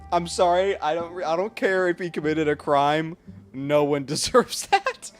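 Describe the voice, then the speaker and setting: A man's voice: fairly high pitch, moderate pace. A young man giving casual, reactive, joking commentary as he plays through a video game. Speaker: gaming video host. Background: game music.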